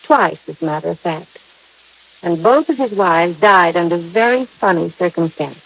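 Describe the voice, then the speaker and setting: A woman's voice: on the low side, animated, normal speed, gravelly. An American actress playing an anxious, coy, earnest young woman client in a 1950s radio drama. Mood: grave, earnest. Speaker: actress in a radio detective series. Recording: muffled old radio recording.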